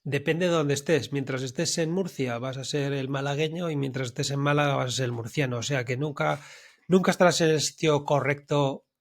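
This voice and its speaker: A man's voice: medium pitch, a little fast. A man hosting a podcast, putting questions to a guest in a friendly, chatty manner. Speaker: podcast host and interviewer. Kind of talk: conversational interview.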